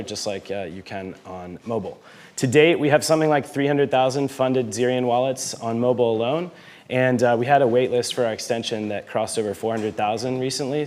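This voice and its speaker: A man's voice: medium pitch, a little mellow. A man in his thirties giving a casual, self-deprecating conference talk, with frequent fillers and light laughs. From United States. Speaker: conference speaker, head of marketing.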